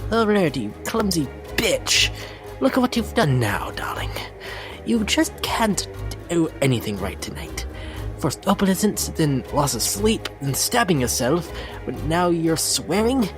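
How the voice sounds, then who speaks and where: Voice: medium pitch, very animated, a little slow. A performer voicing a vain, lovesick, unhinged lady pony in a dramatic reading, with a prim, theatrical, affected delivery. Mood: self-loathing. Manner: theatrical, genteel, fussy, affected, swooping. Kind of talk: dramatic reading of a fan-made character blog. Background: dramatic instrumental music.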